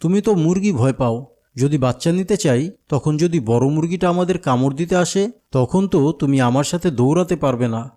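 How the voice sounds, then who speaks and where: A man's voice: fairly high pitch, brisk, dark tone, close to an everyday voice. A man voicing a friendly, patient older companion to a child in a cartoon, with a Bangladeshi accent. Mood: persuading. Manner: patient, coaxing, explanatory. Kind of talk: cartoon dialogue.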